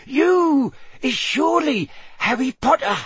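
A man voicing a tiny, timid female house elf servant in an audiobook, squeaky and quivering, deferential and anxious, with broken grammar. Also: animated, fairly bright tone, no echo, full character voice. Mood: curious, timid. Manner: subservient, broken grammar, drawn-out phrasing.

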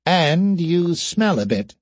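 A man's voice: fairly high pitch, sing-song, takes it slowly, no echo, voice pushed up, heavily acted. A man voicing a small, whiskered male creature who moans and grumbles in an audiobook story. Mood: grumbling. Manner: moaning, complaining.

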